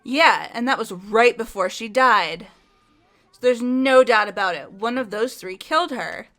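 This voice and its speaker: A woman's voice: on the high side, expressive, unhurried, a bit bright. A woman voicing a blunt, hot-headed young female athlete who insists on her accusations. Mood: accusing. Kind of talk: fan voice acting of a game.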